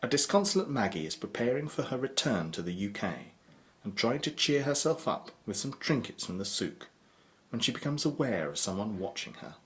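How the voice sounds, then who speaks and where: A man's voice: mid-range voice, sing-song, moderate pace, a bit bright, a little hiss or hum. A man narrating an audio drama in a scripted storytelling style.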